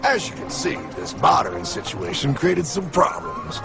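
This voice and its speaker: A man voicing a streetwise, sardonic cartoon guide, explaining emphatically and theatrically in a creaky voice. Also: a little high, very animated, measured pace, exaggerated voice. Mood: explaining, wry. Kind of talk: animated explainer dialogue. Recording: loud music behind the voice.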